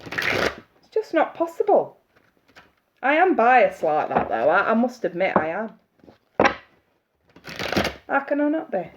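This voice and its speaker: A woman's voice: on the high side, slow, pauses often. A woman reading tarot on a live stream, chatty and congested from hay fever, greeting viewers by name. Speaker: tarot reader. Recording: muffled audio with steady hiss.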